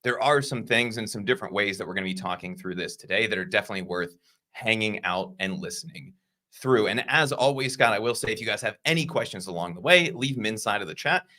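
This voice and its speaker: A man co-hosting a casual livestream podcast, energetic and enthusiastic, talking to the live chat through a desk mic on a video call. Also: on the low side, quick pace. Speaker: podcast co-host.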